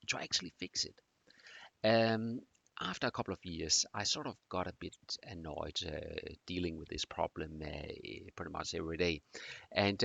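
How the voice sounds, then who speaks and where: A middle-aged man from Denmark with a Danish accent, introducing a course in a halting way with many fillers. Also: on the low side, creaky, pushed and bright, no room sound. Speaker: teacher.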